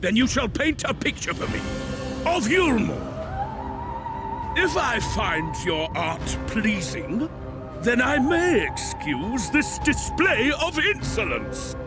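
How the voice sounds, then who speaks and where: Shrill, petulant tyrant lord. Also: male voice, very animated, slow, exaggerated voice. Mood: haughty. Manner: pompous, theatrical, childishly raging. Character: tyrannical, petulant male lord of a city, cruel and self-important. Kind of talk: villain monologue in fantasy game cutscene. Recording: loud background music over the voice.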